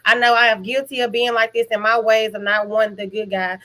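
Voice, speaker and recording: A woman's voice: fairly high pitch, level tone, a little fast, bright, forceful tone, low-quality recording. A woman on a podcast panel talking candidly and casually in slangy, conversational language.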